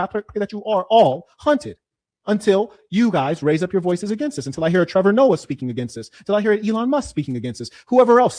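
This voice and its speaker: A man with a high-pitched voice argues in a heated debate. He lectures hard and combatively, sinking low and then bursting into loud shouts.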